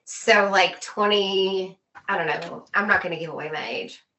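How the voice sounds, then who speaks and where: A woman interview guest casually telling a personal story in short, halting, emphatic phrases. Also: mid-range voice, bright, forceful tone, poor audio.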